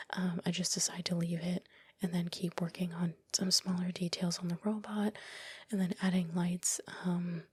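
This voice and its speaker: A woman whispering softly in a casual, reflective ASMR commentary, close to the microphone with a breathy voice. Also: on the low side, dry recording. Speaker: ASMR creator narrating own project. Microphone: close, dry microphone.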